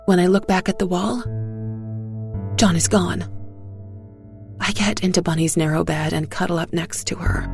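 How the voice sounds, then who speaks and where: A woman narrating a dark fairy tale in the first person, with measured, hushed suspense. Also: on the low side, gravelly. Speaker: story narrator.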